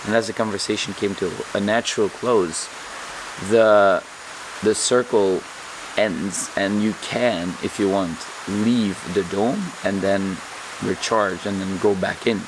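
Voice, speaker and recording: A man vlogging calmly and earnestly, his voice slightly hoarse, often repeating words as he searches for them. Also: on the low side, unhurried, noisy background. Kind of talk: reflective vlog monologue.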